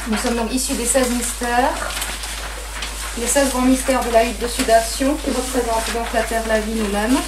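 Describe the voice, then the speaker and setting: A woman explaining to a seminar group as an interpreter, halting and repeating her phrases. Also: on the high side. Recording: distant, echoey, noisy room recording.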